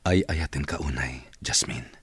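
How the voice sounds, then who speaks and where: A man's voice: rumbling low, moderate pace, fairly bright tone, breathy edge, no room sound, full character voice. A man with an Ilocano accent playing an insistent, lovestruck company boss in a radio comedy drama. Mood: earnest, smitten. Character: lovestruck, pushy male company boss, excitable and bossy.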